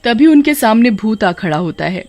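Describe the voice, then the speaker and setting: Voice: medium pitch, sing-song, normal speed, noisy background. A narrator reading a scripted horror tale for animation in a dramatic storytelling style.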